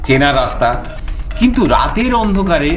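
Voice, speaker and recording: With echo and music around him, an eager male adventurer telling a suspense tale. His delivery is highly animated and expressive, built for audio drama. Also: normal speed. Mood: suspenseful, excited. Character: male first-person narrator, adventurous, eager explorer visiting Paris. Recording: echoing voice over background music.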